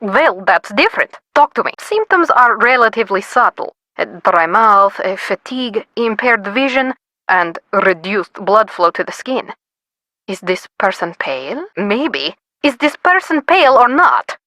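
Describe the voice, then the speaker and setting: An actress playing a brusque, self-important academic expert in a video game, speaking in an imperious, clipped way. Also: female voice, mid-range voice, sing-song, unhurried, bright, forceful tone, no room sound. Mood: intrigued. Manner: imperious, clipped, impatient lecturing. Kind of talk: scripted video game voice acting.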